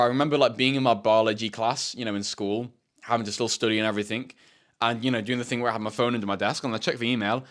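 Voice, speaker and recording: Fast-talking teen guy, swearing. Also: fairly bright tone. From United Kingdom. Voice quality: fairly deep voice. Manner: rambling, swears a lot, says "bro" and "like" often. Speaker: self-improvement YouTuber. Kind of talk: casual storytelling monologue.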